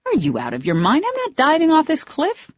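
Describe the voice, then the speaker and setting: A woman reading an audiobook, voicing a quick-tempered young woman who snaps back sharply. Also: lively intonation, dry recording. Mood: furious. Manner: shrill when angry, sharp retorts. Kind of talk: audiobook dialogue. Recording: dull lo-fi dry audio.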